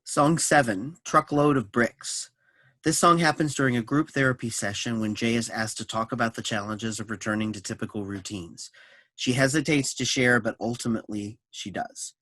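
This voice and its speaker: A man's voice: mid-range voice, fairly even intonation, normal speed, fairly bright tone, no echo. A man reading scripted stage directions and scene summaries for a staged play reading.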